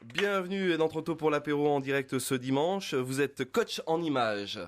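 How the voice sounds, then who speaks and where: A man hosting a lively live radio interview, full of jokey, teasing banter. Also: on the high side, normal speed, crowd noise, noisy background. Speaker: radio host.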